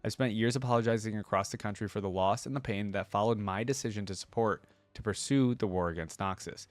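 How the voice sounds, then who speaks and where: Male reader as placid, steadfast female spiritual leader, measured storybook delivery, lowish and dry. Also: no echo, close to an everyday voice. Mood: calm, resolute. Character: serene, steadfast tall woman spiritual leader. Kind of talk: storybook reading.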